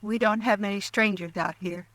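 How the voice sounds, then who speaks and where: An actress playing a weary, guarded homesteader wife in an old radio drama, answering in short, curt lines. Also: female voice, mid-range voice, normal speed, dry recording, close to an everyday voice. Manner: curt, flat, guarded replies. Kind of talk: 1950s radio drama acting.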